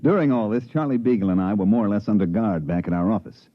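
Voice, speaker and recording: A man's voice: on the low side, lively intonation, quick pace, lightly acted. An American man playing a wry huckster who tells his own story colloquially in 1950s radio drama style. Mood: wry, satisfied. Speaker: narrator and lead actor. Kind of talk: 1950s American radio drama acting, first-person narration. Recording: muffled 1950s radio recording, music.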